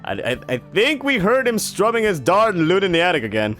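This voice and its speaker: A man's voice: sits high, huge pitch swings, brisk, a bit bright, soft background music, voice pushed up, heavily acted. A man doing rowdy teasing tavern mercenaries. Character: rowdy drunk male mercenaries at a bar.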